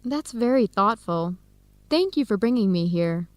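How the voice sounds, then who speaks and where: Woman acting young American female researcher, radio drama, American accent, friendly, very animated intonation, dry studio sound. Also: medium pitch, unhurried, no echo, natural voice. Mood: warm, chatty. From United States. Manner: lively, expressive.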